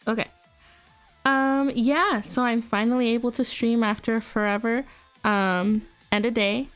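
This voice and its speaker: Congested young woman rambling on stream, kinda high voice, filler words everywhere. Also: steady tone, measured pace, clear, resonant tone. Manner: chatty, rambling, giggly, lots of fillers. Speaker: VTuber streamer. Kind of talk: casual livestream chat. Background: faint music.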